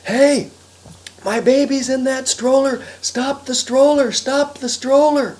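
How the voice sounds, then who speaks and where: Frantic, careless father, performed by a woman: high, loud, urgent yelling for a comic storytelling piece. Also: steady tone, takes it slowly. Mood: panicked yelling. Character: Harry, frantic, careless young father, defensive and shouting. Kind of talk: live storytelling character voice.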